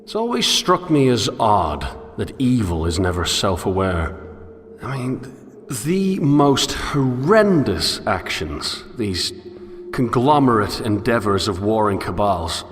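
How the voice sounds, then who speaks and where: An actor playing a brooding, philosophical loner in a video game, musing introspectively in formal, literary phrases. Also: male voice, fairly low pitch, sing-song, slow pace, a bit bright, slight background noise, heavily acted. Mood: bitter, reflective. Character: brooding, philosophical male loner narrating his wanderings. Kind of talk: scripted first-person game monologue. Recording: long echoing reverb under music.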